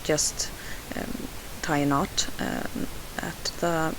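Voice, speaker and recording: A woman's voice: sits low, flat delivery, very slow, in a whisper, poor audio. A Swedish woman with a Swedish accent, narrating a scrapbooking process in a hesitant voice-over full of "uh" fillers and restarts. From Sweden. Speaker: scrapbooking video host. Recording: hissy home voice-over.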